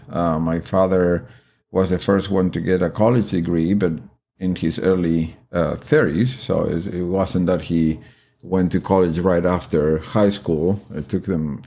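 An older man with an Argentine Spanish accent speaks in a very deep, warm, mellow voice. He tells his story in a halting, thoughtful way, full of uhs and restarts. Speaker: podcast guest. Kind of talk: life story interview.

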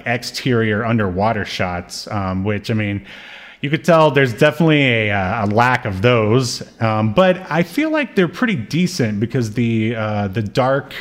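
A man co-hosting a podcast, chatting casually about old television in a rambling, playfully argumentative way. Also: on the low side. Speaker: podcast co-host.

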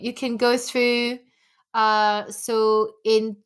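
A woman's voice: on the high side, flat delivery, very slow, clear, resonant tone. A woman from Belgium whose first language is French, talking casually with many fillers and repeated "yeah"s. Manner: chatty, lots of fillers and repeated yeahs. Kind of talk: casual chat.

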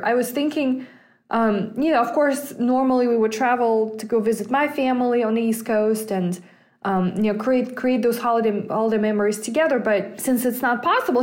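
Woman hosting a podcast, higher voice. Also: a little mellow. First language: Russian. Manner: easygoing, talks as ideas come to her. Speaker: podcast host. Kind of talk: solo finance podcast, thinking aloud.

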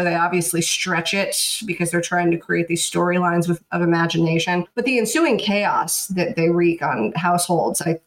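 Low-voiced monotone woman, dry sarcastic podcast cohost. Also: poor audio. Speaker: podcast co-host. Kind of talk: casual chat.

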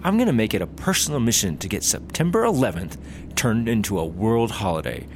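Excitable, eager young traveler, man playing, lively pitch, noisy plane-cabin background. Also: fairly quick. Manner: excitable, pitch jumps high when excited. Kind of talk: comedy audio drama acting.

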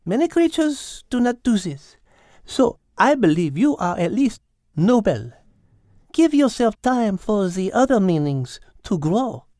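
A man's voice: high voice, sing-song, a little slow, warm tone, no echo, studio quality, voice pushed up. A man voicing an elegant, intellectual female French cat with a French accent, amused and gracious, in an audiobook. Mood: noble, encouraging.

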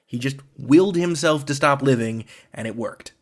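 A man's voice: medium pitch, normal speed. Wry man hosting a podcast. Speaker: podcast host. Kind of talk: conversational scripted introduction.

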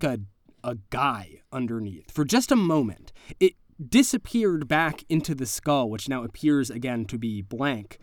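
A man's voice: mid-range voice, dry recording, studio quality. A man narrating an improvised tabletop game as game master, casual and vivid in his describing. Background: music.

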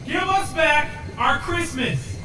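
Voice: high-pitched, slow pace. An actor playing a defiant, cocky spacecraft pilot in a sci-fi audio drama, wry and firm. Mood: stern resolve. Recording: very echoey, distant, noisy craft.

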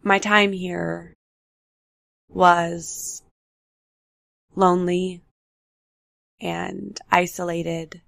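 Low, gravelly woman with a nasal voice. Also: flat delivery, fairly bright tone, dry recording, clean studio sound. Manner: candid, unhurried, personal storytelling. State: nasal from recovering flu. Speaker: podcast host. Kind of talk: solo reflective podcast talk. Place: apartment with road noise outside.

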